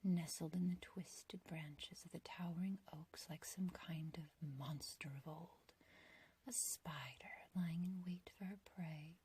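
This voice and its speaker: A woman playing an ancient, beautiful witch in an ASMR roleplay, obsessively loving and menacing, speaking in a breathy whisper with archaic, theatrical phrasing. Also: on the low side, fairly even intonation, dark tone. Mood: sly, teasing. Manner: slow, theatrical, archaic wording, doting yet condescending.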